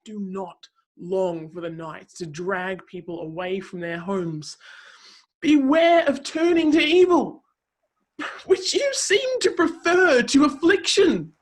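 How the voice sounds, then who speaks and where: A woman playing a zealous counselor who proclaims God's might in a declamatory, dramatic scripture reading with deliberate pauses. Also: mid-range voice, animated. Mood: fervent, urging.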